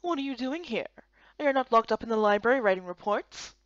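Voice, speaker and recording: A woman's voice: fairly high pitch, lively intonation, brisk, dry recording, close to an everyday voice. Girl doing a cheeky sardonic elf boy, young adult, fantasy game, dry close mic, bit dull. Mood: smug, mocking. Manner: teasing, sardonic. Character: cheeky, smug, teasing young male elf.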